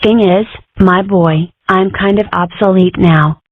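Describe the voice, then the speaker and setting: A woman's voice: medium pitch, steady tone, unhurried, no room sound, lightly acted. A woman playing an old grandmother, wry, conspiratorial, dry lo-fi recording. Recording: dry, phone-like lo-fi sound.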